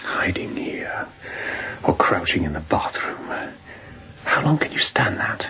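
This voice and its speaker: An actor playing a fierce ship's officer in hiding in a radio drama, speaking with hushed intensity. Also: male voice, low-pitched, big pitch swings, a little slow, in a whisper, heavily acted. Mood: hushed, haunted. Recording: dull, muffled sound.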